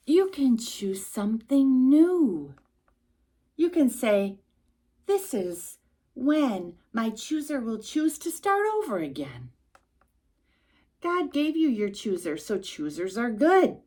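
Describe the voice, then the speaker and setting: A woman reading a rhyming picture book aloud to a young child as a storytime host, expressive and emphatic, with little asides to the listener. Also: a little high, slow pace.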